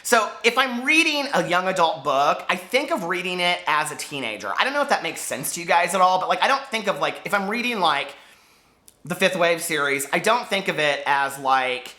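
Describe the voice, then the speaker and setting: A man in his forties chatting casually and excitably to viewers as a book vlogger, gushing and a little campy. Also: sits high, brisk. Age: 40s. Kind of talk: casual chat to viewers, book tag video.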